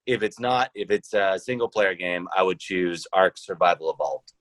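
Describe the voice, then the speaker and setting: Male podcast guest, low voice, very dry close sound, deliberate conversational answers. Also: a bit bright, no echo, low-quality recording. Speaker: podcast guest, founder of a role-playing podcast.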